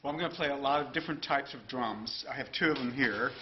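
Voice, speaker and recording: Man in his fifties, relaxed and unhurried, mid-range voice; dull, hissy camera recording. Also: medium pitch. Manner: relaxed, trailing off softly. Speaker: percussionist. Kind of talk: casual explanation of his instruments. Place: large rehearsal room indoors. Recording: dull lo-fi sound with noise.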